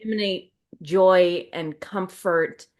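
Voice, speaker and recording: A woman's voice: lowish voice, steady tone, slow pace. A middle-aged woman chatting casually as a podcast host, retelling things in a wry, self-mocking way with quoted dialogue.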